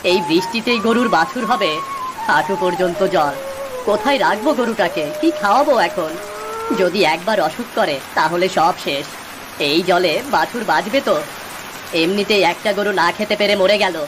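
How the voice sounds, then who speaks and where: A woman voicing a gentle, worried poor village farmer father in a moral cartoon. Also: lowish voice, moderate pace, background noise, lightly acted. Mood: despairing. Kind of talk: Bengali moral cartoon dialogue.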